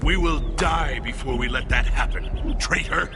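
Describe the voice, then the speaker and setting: An actor playing a fierce, noble barbarian warrior, a man who declaims forcefully in a gravelly voice. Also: on the high side, a little slow. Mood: defiant. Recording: loud music behind the voice.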